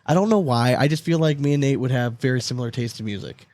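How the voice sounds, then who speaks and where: A man's voice: medium pitch, quick pace, a little mellow, no room sound. A man co-hosting a podcast with casual banter, talking in a blunt, profane, slangy way. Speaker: podcast co-host.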